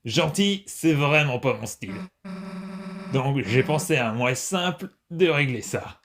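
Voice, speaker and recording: A man's voice: medium pitch, expressive, measured pace, a bit bright, slight background noise. A man voicing a proud, tough female monster bully in an amateur comic dub, blunt and slangy, with taunts. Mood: cocky, threatening.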